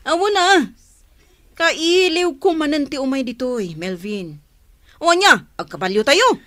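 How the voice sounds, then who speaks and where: A woman's voice: high-pitched, very animated, unhurried, a bit bright, heavily acted. A woman with a Filipino accent acting a sociable, inquisitive and concerned friend in a radio drama. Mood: friendly.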